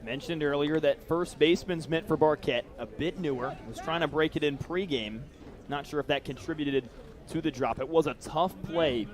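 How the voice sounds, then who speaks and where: Higher-pitched man calling baseball play-by-play. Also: normal speed. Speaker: play-by-play announcer. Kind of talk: live baseball play-by-play commentary.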